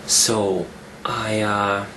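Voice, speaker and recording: A man talking casually in a vlog, rambling and restarting his sentences, his voice rough from a cold. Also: lowish voice, little pitch movement, very slow, sharp tone, a little hiss or hum. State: getting over a cold, rough voice. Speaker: fitness vlogger. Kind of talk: casual weekly update vlog.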